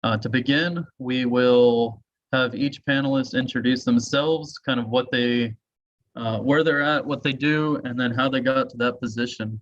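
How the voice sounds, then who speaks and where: A man moderates an online panel in a casual, slightly hesitant way. His mid-pitched voice comes through dull, muffled video-call audio. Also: fairly even intonation, a little slow. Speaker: host and moderator. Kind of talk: informal webinar moderating.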